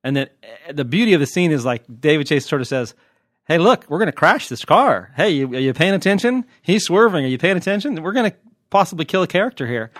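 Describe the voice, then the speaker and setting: A man's voice: a little high, animated, fast, fairly bright tone, dry recording, studio quality. An American man hosting a podcast, chatting casually and off the cuff in a joking, irreverent and digressive way, with some mild swearing. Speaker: podcast host, TV critic. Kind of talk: casual, unscripted podcast chat.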